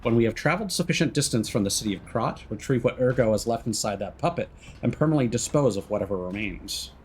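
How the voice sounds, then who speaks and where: A man's voice: mid-range voice, animated, fast, slight background noise. A man giving casual let's-play commentary as a game streamer, sometimes reading in-game notes aloud.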